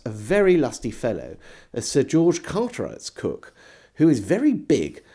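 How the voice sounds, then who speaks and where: A man reading a historical diary aloud as a storyteller, phrasing it expressively and measuredly, with deliberate pauses. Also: mid-range voice, warm tone, slightly rough. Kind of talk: dramatised audiobook narration of a 17th century diary.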